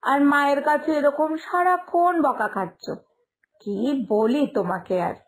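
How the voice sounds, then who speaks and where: একজন মহিলার কণ্ঠে চড়া গলার যত্নশীল তরুণী বৌমা. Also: female voice, high-pitched, sing-song, measured pace, low-quality recording. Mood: gentle, coaxing. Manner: soothing, coaxing. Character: caring, dutiful young daughter-in-law in village household. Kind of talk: cartoon story voice acting.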